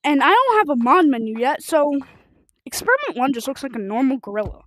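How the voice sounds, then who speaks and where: A woman chatting casually and rambling as a livestreamer playing a video game, telling stories in an informal, excitable way. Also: high voice, fairly quick. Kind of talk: rambling casual livestream chat while gaming.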